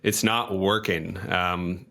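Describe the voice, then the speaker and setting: A man's voice: deep voice, fairly even intonation, slow, creaky, pushed and bright. A man telling improvised story as a tabletop game player, often repeating and restarting his phrases.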